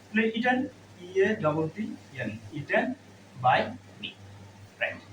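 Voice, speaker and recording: A man's voice: high voice, big pitch swings, takes it slowly, fairly bright tone. A man teaching a grammar lesson step by step, asking questions and answering them himself. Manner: instructive, asks and answers own questions. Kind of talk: classroom lesson, explaining step by step. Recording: roomy, distant mic, faint background noise.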